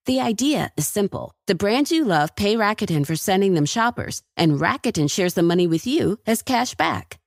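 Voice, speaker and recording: A woman's voice: medium pitch, dry recording, studio quality. A woman announcer delivering a scripted advertisement. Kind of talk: scripted ad read.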